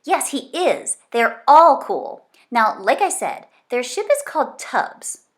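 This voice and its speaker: A woman's voice: high voice, sing-song, takes it slowly, pitched above the performer's own voice, heavily acted. Woman as an eager, anxious young boy. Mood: excited, insistent. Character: young boy narrator, anxious, eager space-show fan.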